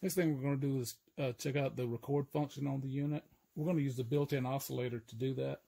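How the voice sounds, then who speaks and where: An older man giving an informal, hands-on equipment demonstration, explaining step by step in a halting, methodical way. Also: mid-range voice, fairly even intonation, gravelly, husky. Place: indoor room full of audio gear. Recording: loud noise and electrical hum.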